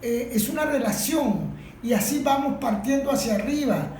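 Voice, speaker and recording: A woman with a Venezuelan accent giving her opinion in a spontaneous discussion, in a reflective, measured way. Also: on the high side, animated, darkish tone. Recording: echoey room, faint background noise.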